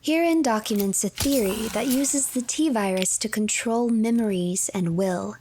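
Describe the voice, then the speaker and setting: A woman's voice: on the high side, moderate pace, noisy background. Woman narrating a game like a storyteller. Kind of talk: scripted story narration and reading files aloud.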